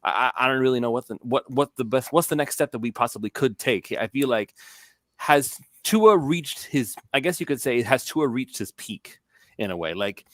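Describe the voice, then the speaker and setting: A man hosting a casual sports podcast, asking questions in a halting, loose way. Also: mid-range voice, expressive, a bit bright, dry recording.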